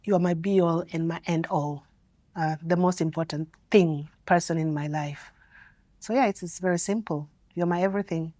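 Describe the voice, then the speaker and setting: A woman's voice: on the low side, fairly even intonation, moderate pace, mellow, no room sound. A woman speaking affectionately to her daughter, simple and a little teasing. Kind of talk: intimate interview conversation.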